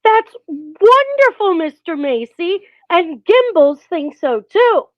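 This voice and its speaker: A woman acts a brisk, polished businesswoman in a forties radio play. Her high voice is very animated and persuasive, heard through slightly dull, lo-fi sound. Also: full character voice. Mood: eager. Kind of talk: 1940s radio acting.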